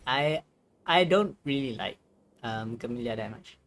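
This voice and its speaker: A game streamer giving casual live commentary, thinking aloud in a hesitant, halting way. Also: mid-range voice, lively intonation, fairly bright tone, soft background music.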